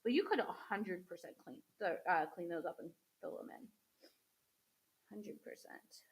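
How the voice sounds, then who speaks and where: Lively chatty woman with sniffles. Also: fairly low pitch, moderate pace, poor audio. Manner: chatty, talks to viewers as she works. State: sniffly with a cold. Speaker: craft host. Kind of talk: casual craft demo chat.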